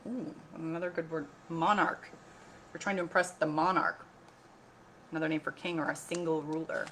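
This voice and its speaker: A woman reading a picture book aloud as a teacher, expressive and instructive, often pausing to put questions to listeners. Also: low-pitched, a little hiss or hum, rough recording. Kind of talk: read-aloud lesson for children with questions to listeners.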